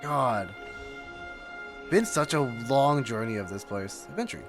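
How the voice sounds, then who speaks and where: Animated man reacting to a game. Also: medium pitch, slow pace, over music. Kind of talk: casual reaction commentary.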